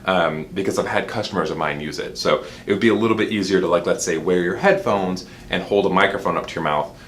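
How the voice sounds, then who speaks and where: Low-voiced man giving a casual tutorial. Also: fast, a little hiss or hum. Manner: informal, explanatory, talks directly to viewers. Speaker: product demonstrator.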